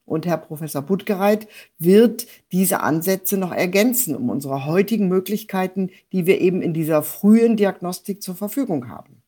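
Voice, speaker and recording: Female professor as expert guest, dark soft timbre, lower register, formal explanatory delivery. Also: lively intonation, moderate pace.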